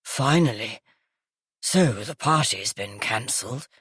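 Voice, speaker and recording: A woman voicing a groggy older woman with a croaky, gravelly, smoky voice. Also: a bass voice, lively intonation, slow pace, a bit bright, no echo, clean studio sound, voice pushed down, exaggerated voice.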